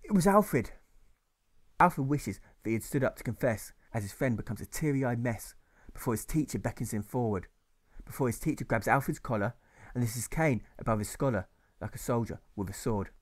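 A man reading his own rhyming novel aloud, in a measured, rhythmic narration. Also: mid-range voice.

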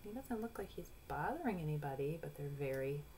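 Female storybook reader, forties, low voice, instructive asides, noisy home webcam sound. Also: animated, a little mellow. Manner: instructive, addressing young children, pausing to point things out. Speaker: picture book read-aloud reader. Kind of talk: storybook read-aloud with explanatory asides. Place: small room at home.

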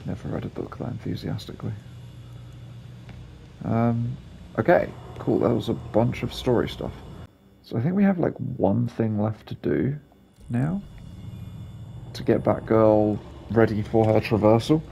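A man's voice: fairly low pitch, animated, a little slow, gravelly, long pauses, mellow, slight background noise. A man giving casual commentary over a video game as its host.

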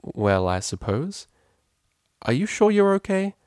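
A man's voice: high-pitched, very animated, a little slow, darkish tone, smooth, no echo, studio quality, voice pushed up. A man voicing a refined, caring female cellist pony in a storybook, with prim and formal wording. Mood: puzzled.

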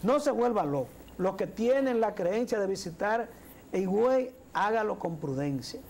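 A man's voice: high-pitched, lively intonation, normal speed, gravelly, a little mellow, a little hiss or hum. A man in his forties with a Dominican accent, co-hosting a studio talk show with earnest commentary. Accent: Dominican Spanish. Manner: earnest, gestures while speaking, repeats words. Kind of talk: political commentary. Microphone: lapel mic.

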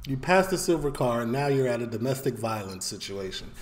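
A man's voice: medium pitch, brisk. A man, reacting to a police show in casual, rambling commentary that circles and repeats itself. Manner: animated, rambling, repeats phrases, gestures while talking. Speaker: reaction video host.

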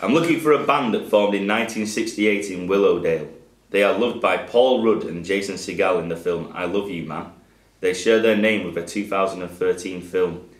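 A man reading out pub quiz questions to a room of players, in a measured and clear way. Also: low-pitched. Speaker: quizmaster. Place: pub function room with a group at tables. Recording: roomy pub room sound.

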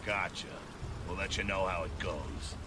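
A grim veteran soldier speaks in a low, gruff voice. He is terse and commanding, a video game squad leader, with loud noise rumbling behind him. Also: measured pace. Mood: businesslike.

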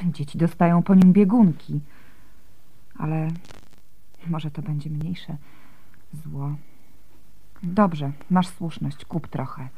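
An actress playing a sick, feverish young mother, gentle, soft and weak, in a Polish radio drama. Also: low voice, steady tone, slow, gravelly, pauses often, breathy edge, phone-quality sound, full character voice. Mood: weak, tender.

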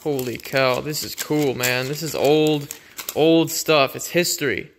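A man's voice: mid-range voice, a little slow, slight background noise. A man giving casual, unscripted vlog commentary in a laid-back, rambling way, recorded on a handheld phone in a garage. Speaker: vlogger.

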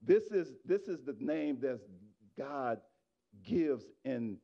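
A middle-aged man preaching a sermon in a church, on a handheld microphone, swinging from shouted exhortation to quiet asides to the congregation. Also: mid-range voice, expressive, takes it slowly, vocal fry, highs cut off. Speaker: pastor. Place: church sanctuary.

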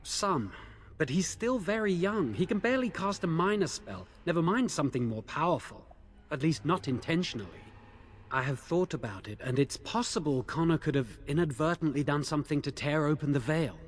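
Actor as anxious high-voiced young male mage. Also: expressive, a little fast, natural voice. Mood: earnest, explaining. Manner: earnest, defensive, pleading. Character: anxious, guilt-ridden young male blood mage, pleading. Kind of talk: dramatic fantasy video game acting.

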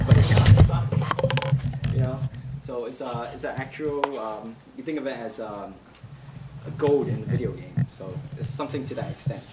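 A young man giving a casual tech talk at a meetup, with many fillers and pauses. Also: medium pitch, heavy background noise. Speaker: meetup presenter. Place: office meeting room with audience. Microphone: far camera. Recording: roomy sound from far off.

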